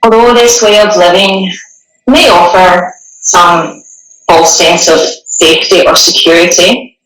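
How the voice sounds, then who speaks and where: A woman guiding a meditation in a gentle, soothing, unhurried way, with long pauses between phrases. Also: lowish voice. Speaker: meditation guide. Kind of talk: guided meditation, partly reading a passage. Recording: echoey room, distant microphone.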